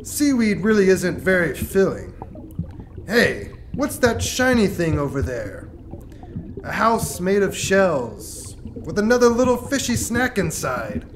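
A woman puts on a hungry big bad shark for a puppet show. He schemes in drawn-out, theatrical menace, his pitch swooping widely over clear background noise. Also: big pitch swings. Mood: grumpy, scheming. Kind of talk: children's puppet show character.

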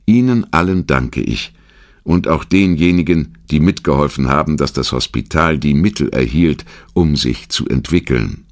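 Man reading as a deep-voiced, humble old doctor. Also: animated, normal speed. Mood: solemn gratitude. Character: grateful, humble elderly male doctor giving a speech. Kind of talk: quoted speech within documentary narration.

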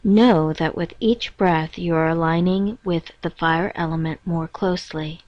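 A woman reading a scripted guided meditation in a measured, instructing manner with pauses between phrases. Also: lowish voice. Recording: dull, roomy echoing lo-fi recording.

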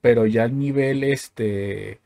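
A man's voice: medium pitch, slow pace, gravelly, mellow, no echo. A man in his thirties hosting a podcast, asking curious questions and thinking aloud with fillers. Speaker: podcast host. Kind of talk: casual interview questions. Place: home room, indoors. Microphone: studio mic on a stand, with headphones.

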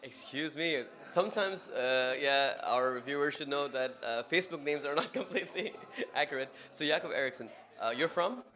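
A man's voice: on the high side, normal speed, few pauses, bright, forceful tone. A young man hosting casual interviews on a city street and in a cafe, asking friendly, short questions into a handheld mic. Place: outdoors on a city street at night, then in a cafe. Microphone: handheld mic held toward guests.

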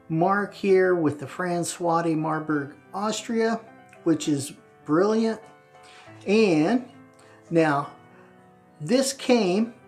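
A man in his fifties presenting an instructional demonstration to camera in a deliberate, emphatic way, recorded in a home bathroom. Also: high voice. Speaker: presenter of a wet shaving channel. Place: small tiled home bathroom, indoors.

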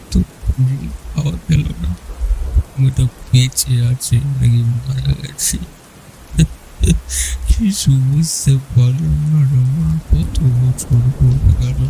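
Man acting a slow, dark-voiced grieving old father. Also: mid-range voice, gravelly, slight background noise, low-quality recording. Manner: slow, sorrowful, halting. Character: grieving, bewildered old village father of a missing daughter. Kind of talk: audio story acting.

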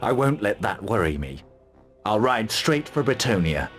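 An actor playing a bold, resolute mercenary commander in a video game cutscene, gruff and blunt in delivery. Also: male voice, on the low side, very animated, normal speed, fairly bright tone, soft background music.

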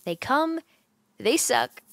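A young woman voicing an affectionate, teasing, sleepy roommate in a gentle, intimate ASMR comfort roleplay, close to the microphone. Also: high-pitched, animated, slow pace, vocal fry, no room sound, heavily acted. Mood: comforting, casual. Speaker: roleplay voice actor. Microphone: close microphone, dry.

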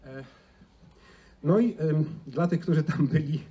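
Guy doing a casual conference talk, dark mellow voice, bit distant and noisy, big hall stage. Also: fairly high pitch, a little slow, lo-fi sound. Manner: conversational, frequent rhetorical tag questions. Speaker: conference speaker. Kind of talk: informal conference presentation. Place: large indoor auditorium stage.

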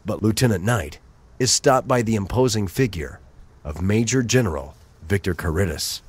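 A man's voice: lowish voice, lively intonation, normal speed, slightly rough. A man giving scripted, dramatic narration as the narrator of an audio drama.

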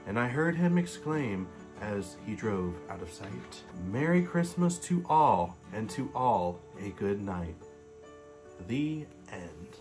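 A man in his late twenties, sitting on a living room couch and reading a Christmas story aloud in a gentle, casual way. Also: fairly low pitch, big pitch swings, slow, music in the background. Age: late 20s. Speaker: storybook reader. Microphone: webcam facing him at close range.

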